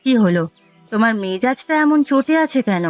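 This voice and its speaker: A woman's voice: on the high side, expressive, moderate pace, lightly acted. A woman voicing a conniving, nagging village wife in a cartoon, with an insistent, goading delivery. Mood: curious. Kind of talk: Bengali cartoon story dubbing. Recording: dull lo-fi audio, music bed.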